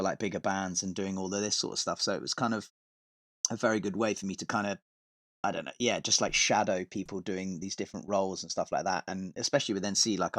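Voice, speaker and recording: A man with a fairly low-pitched voice talks as a guest on a podcast. He tells stories in a loose, rambling, informal style with some swearing. The sound is dry, with very little room on it. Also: fairly bright tone, no room sound. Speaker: podcast guest, touring metal vocalist and tour manager. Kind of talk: casual podcast chat, telling stories from touring.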